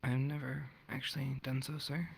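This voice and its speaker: A man's voice: medium pitch, level tone, moderate pace, creaky, breathy edge, soft background music. A man playing an earnest, deferential novice adventurer in a fantasy roleplay, speaking respectfully and a little hesitantly. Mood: troubled, candid. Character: earnest, deferential male novice adventurer, former wall guard. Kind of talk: improvised fantasy roleplay in character.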